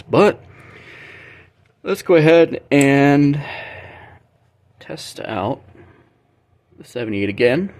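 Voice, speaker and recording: A man's voice: on the low side, darkish tone. A young American man chatting casually and thinking aloud as he demonstrates something, in a rambling way. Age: young adult. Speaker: vlogger, record collector. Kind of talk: casual chat while demonstrating. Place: small bedroom, indoors.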